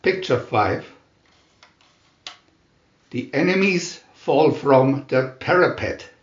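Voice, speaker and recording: A man reading a storybook aloud as dramatic narration, in a theatrical, deliberate manner with emphatic announcements. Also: mid-range voice, lively intonation, roomy sound, highs cut off. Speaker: reader of picture-reel story booklets.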